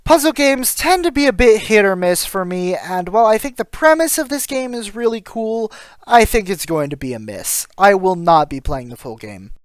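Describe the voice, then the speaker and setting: A man's voice: sits high, animated, moderate pace, dry recording, studio quality. A man reading scripted voice-over commentary as a video game reviewer.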